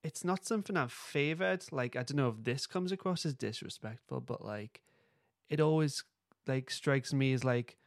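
A man's voice: medium pitch, normal speed, creaky, no echo, studio quality. A man co-hosting a podcast in loose, informal conversation. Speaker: podcast co-host. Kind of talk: casual chat.

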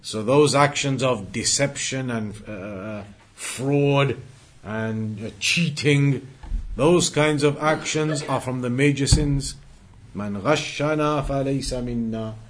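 A man teaching an Islamic studies class, explaining deliberately and repeating key phrases for emphasis as he moves through recited quotes. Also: mid-range voice. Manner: didactic, deliberate, emphatic repetition. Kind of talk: religious lesson, explaining and translating recited quotes.

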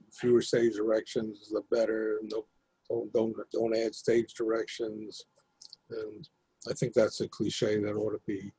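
A man with an extremely husky, raspy voice, low and mellow, gives thoughtful feedback. He speaks haltingly and deliberately. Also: no room sound, poor audio. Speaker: commenter on a play.

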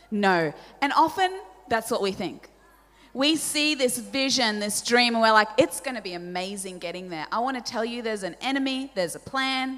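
A woman in her forties with an Australian accent, a native Polish speaker, preaching a sermon with animated, self-deprecating storytelling and questions to the audience. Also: fairly high pitch. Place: large church hall. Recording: church hall reverb.

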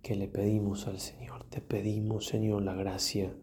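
A man, a priest leading a guided prayer meditation in a solemn, reflective manner with long pauses and softly trailing phrases. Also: lowish voice, little pitch movement, slight background noise.

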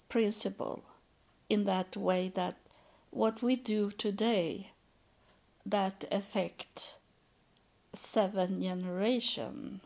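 Older Swedish-native woman, very slow and halting, dark gravelly voice, casual spiritual monologue. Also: mid-range voice. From Sweden. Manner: halting, many pauses and uh fillers, searching for English words.

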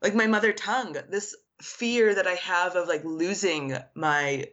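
A woman's voice: on the low side, steady tone, unhurried, a bit bright. Woman artist, arabic her first language, thoughtful and earnest, says like a lot, kinda dull lo-fi sound. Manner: earnest, lots of "like" fillers. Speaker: artist guest. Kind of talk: reflective interview answers.

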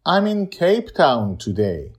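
Man putting on an eager, high-pitched girlfriend voice. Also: huge pitch swings, slow, slightly rough, full character voice. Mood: excited. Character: eager, sociable female wheelchair racer, coaxing friend.

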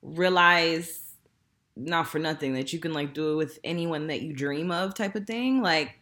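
A woman's voice: deep voice, moderate pace, fairly bright tone. Frank, laughing woman in her thirties. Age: 30s. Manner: frank, laughs often, lots of fillers. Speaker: podcast host. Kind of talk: candid casual chat.

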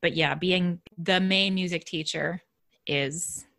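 A soft-spoken woman with a fairly low voice, chatting about her teaching in a relaxed interview. She thinks aloud as she goes, circling back on her words before landing the point. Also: takes it slowly. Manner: reflective, thinks aloud with restarts and light laughs. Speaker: elementary music teacher. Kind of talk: casual conversational interview.

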